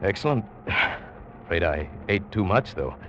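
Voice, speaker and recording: Deep-voiced, confident 1940s radio hunter. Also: male voice, big pitch swings, a little slow, a bit bright, slight background noise, heavily acted. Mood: relaxed. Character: confident big game hunter, hearty and dismissive. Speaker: narrator and lead actor. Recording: dull old 1940s radio recording, music.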